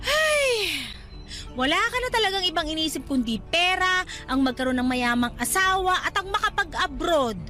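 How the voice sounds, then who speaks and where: A Filipino actress playing a nagging but sensible young cousin and co-worker in a radio drama, scolding and exasperated. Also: female voice, very high-pitched, sing-song, normal speed, sharp tone, exaggerated voice. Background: music bed.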